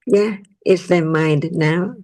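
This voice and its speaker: A woman teaching Buddhist ideas in English with a Thai native tongue, asking slow, probing questions. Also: fairly low pitch, mellow. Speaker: Dhamma teacher. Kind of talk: teaching discussion.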